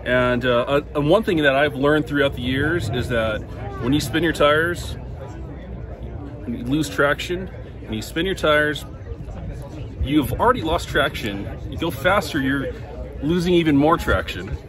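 A man in his thirties in a casual, halting way, talking into a phone at arm's length outdoors at an expo. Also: on the high side, animated. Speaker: vlogger interviewer. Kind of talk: casual interview. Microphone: phone held at arm's length. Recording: busy crowd noise behind.

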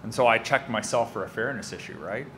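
Earnest lower-voiced man on a panel. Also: a bit bright, slight background noise, rough recording. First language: French. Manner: earnest, emphatic, measured. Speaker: panelist, public servant. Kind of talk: panel Q&A answer. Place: university lecture room, indoors. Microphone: table microphone, seated.

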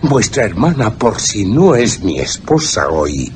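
An actor playing a sinister, mocking aristocratic villain in a radio drama, with a slow, menacing, theatrical delivery. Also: male voice, lowish voice, sing-song, noisy background. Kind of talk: Spanish radio drama acting. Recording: radio drama with music underneath.

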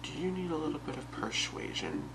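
A young man playing an obsessive, calmly menacing boyfriend in a halting, soft, half-whispered ASMR role play. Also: fairly high pitch, gravelly, heavily acted. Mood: calmly controlling. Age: young adult. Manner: halting, soft-spoken, unhurried. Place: small bedroom, indoors. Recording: very noisy webcam-style home recording.

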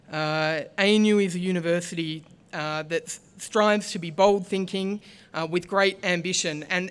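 Clear-voiced woman at the lectern. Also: fairly low pitch. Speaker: master of ceremonies. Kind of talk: formal welcome speech. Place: outdoor stage at a large ceremony. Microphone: lectern microphones.

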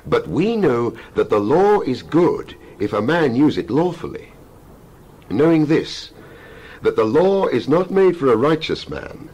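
A man giving a dramatized scripture reading as a Bible narrator, solemn and emphatic, with measured oratorical phrasing. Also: medium pitch, very animated, darkish tone, husky. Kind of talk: dramatized scripture reading, King James English.

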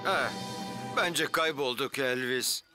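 A man's voice: mid-range voice, slow pace. An actor voicing an anxious, doubtful male handyman in a cartoon dub. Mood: sceptical. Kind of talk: Turkish cartoon dub acting. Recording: loud music under the voice.

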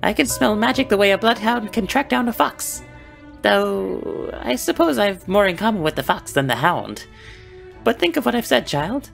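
A performer playing a sly, whimsical male beggar in an animated film, with a theatrical, grandiloquent delivery. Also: medium pitch, moderate pace, gravelly, faint music behind. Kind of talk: animated film character acting.

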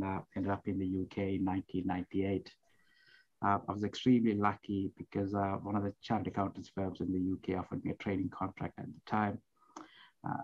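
Middle-aged man from Sri Lanka, interview guest, low-pitched, halting, muffled headset audio. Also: darkish tone. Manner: hesitant, many fillers and restarts. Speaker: guest accountant. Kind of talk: interview answers. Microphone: headset on video call.

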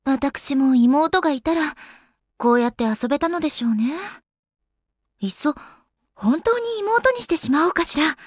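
A woman's voice: high voice, animated, normal speed, pauses often, no echo, studio quality. A woman playing an elegant, doting young goddess in an anime game, speaking in polite, ladylike phrases. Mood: doting, playful. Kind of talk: Japanese anime game voice acting.

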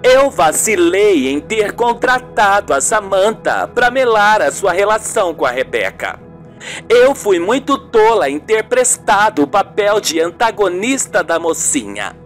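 A man's voice: high voice, sing-song, measured pace, faint music behind. A man voicing a melodramatic, guilt-ridden older mother in an amateur radio soap. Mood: flustered, guilty.

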